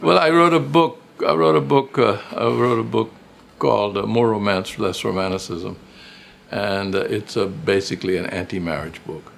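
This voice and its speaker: A man's voice: fairly low pitch, normal speed, vocal fry, fairly bright tone, slight background noise. An older man, a retired professor and writer, reminiscing casually in an interview, rambling and repeating phrases for emphasis.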